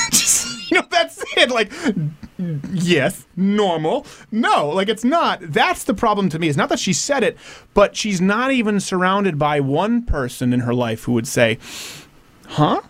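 A man from Canada hosts a comedic podcast, joking with quick asides and a lively, rather high voice that jumps around a lot. The sound is close and dry, with no room around it. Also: no room sound. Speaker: podcast host. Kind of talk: comedic talk show chat.

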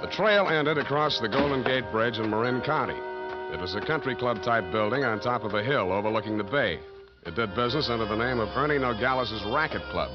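An American actor playing a wry, cynical private detective who narrates his case in deadpan 1940s radio style. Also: mid-range voice, brisk, lightly acted. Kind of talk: 1940s radio detective acting, scripted first-person narration. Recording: dull old 1940s radio recording, loud music.

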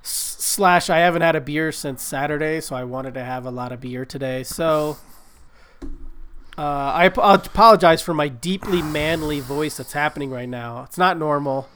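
A man's voice: on the high side, moderate pace, a bit bright, clear, resonant tone. Stuffed-up man, rambling and profane. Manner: rambling, profane, self-mocking. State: stuffed-up nose from a cold. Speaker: podcast co-host. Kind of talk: casual chat.

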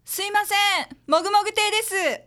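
A woman's voice: extremely high pitch, brisk, bright, forceful tone, soft background music. A woman playing a kindly, encouraging mother who delivers lunch boxes, in a radio drama. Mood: polite. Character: friendly mother working as bento delivery woman. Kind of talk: audio drama acting.

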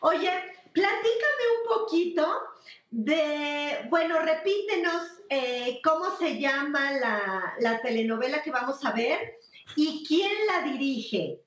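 Woman host, high voice, enthusiastic, echoey room. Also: expressive, takes it slowly, fairly bright tone. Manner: enthusiastic, asks questions. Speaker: interviewer host. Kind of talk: chatty interview hosting.

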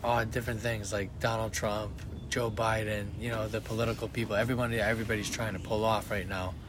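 A man's voice: fairly low pitch, little pitch movement, fast talker. A man co-hosting a casual podcast, rambling and swearing, restarting his sentences often. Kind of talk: casual chat. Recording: background noise.

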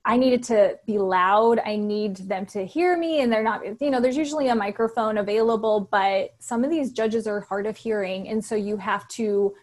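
A soft-spoken woman in her thirties with a higher voice, a lawyer chatting as an interview guest with lots of fillers. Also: normal speed, hardly any pauses. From Texas. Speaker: business attorney guest. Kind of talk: casual interview talk.

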